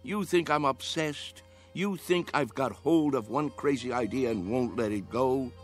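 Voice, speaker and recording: A man's voice: medium pitch, a little slow, natural voice. An actor playing an earnest, persuasive old man with a dreamer's young heart in a radio drama. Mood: defiant, impassioned. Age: older. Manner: earnest, persuasive, challenging with questions. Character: old man, impassioned youthful-hearted dreamer coaxing others to play. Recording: radio drama with music underneath.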